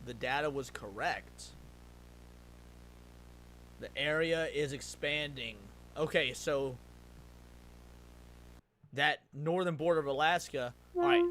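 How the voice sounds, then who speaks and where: A man's voice: a little high, a little hiss or hum. A man giving casual live reaction commentary as a stream host, thinking aloud as he goes. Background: loud music from the watched video.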